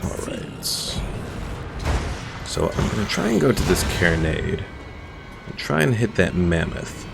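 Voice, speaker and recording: Deep gravelly guy casually streaming a game, chill and chuckly, game music under him. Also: very animated, a little slow, long pauses. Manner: laid-back, chuckling, reads chat aloud. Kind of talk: live game commentary.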